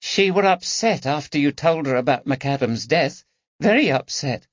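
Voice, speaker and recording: A man's voice: on the high side, animated, moderate pace, dry recording, pitched above the performer's own voice. A man voicing a timid, hesitant nineteen-year-old lady's maid who speaks in plain working-class talk. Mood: anxious. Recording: dull, dry audiobook recording.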